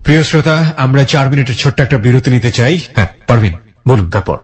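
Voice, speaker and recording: A man's voice: medium pitch, lively intonation, fast. A man with a Bangladeshi accent hosting a radio interview, putting short clarifying questions to a guest. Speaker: radio show host.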